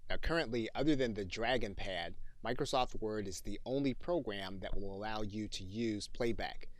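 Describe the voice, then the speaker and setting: A man narrating a software tutorial as an instructor, in an explanatory, instructional manner. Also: lowish voice, moderate pace, dry recording.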